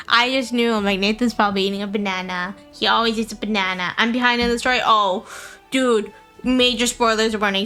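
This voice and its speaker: A woman's voice: fairly high pitch, sharp tone. A woman live-streaming a game, chatting casually in a playful, giggly way on a close mic.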